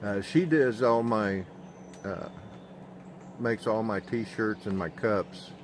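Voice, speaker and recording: A man with an Oklahoma accent chatting on a live stream from his handheld phone outdoors, talking in a slow, halting monotone and answering viewers' comments. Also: on the low side, a little hiss or hum. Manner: slow, drawling, halting with many fillers. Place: outdoors at sunrise.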